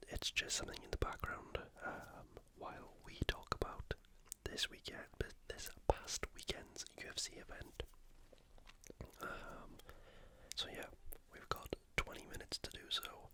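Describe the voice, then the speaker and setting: A person whispering breathily and close to the microphone, giving an unhurried, soft-spoken ASMR sports recap. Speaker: ASMR YouTuber.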